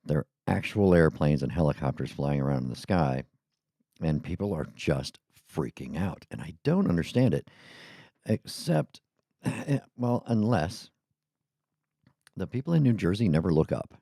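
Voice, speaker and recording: A middle-aged man with an extremely deep, gravelly voice talks through a casual, rambling podcast monologue and keeps drifting off topic. His tone is dark and mellow. The sound is dry and close, recorded on a studio mic in a small home studio with sound panels. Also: lively intonation, a little slow, no echo. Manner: rambling, chatty, goes off on tangents. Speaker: podcast host. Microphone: studio microphone.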